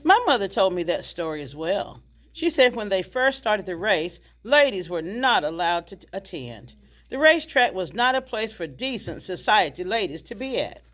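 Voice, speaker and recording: A woman's voice: medium pitch, very animated, normal speed, a bit bright. A woman reading the part of a genteel, chatty, gracious older widowed hostess in a stage play. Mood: reminiscing. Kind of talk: stage play table read.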